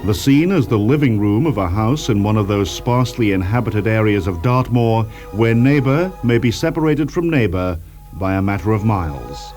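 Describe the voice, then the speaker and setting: A man acting as deliberate, low-voiced radio-play narrator. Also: expressive, a little mellow, faint music behind, lightly acted. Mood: ominous, measured. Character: male scene-setting narrator of an eerie moorland drama. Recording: 1970s archive radio recording.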